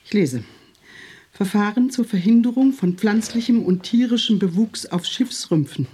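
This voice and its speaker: A woman's voice: medium pitch, animated, warm tone, husky. Stern elderly woman executive. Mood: grave. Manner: measured, formal, grave. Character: grand old woman professor, commanding. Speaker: company executive in a radio drama. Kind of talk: radio drama acting.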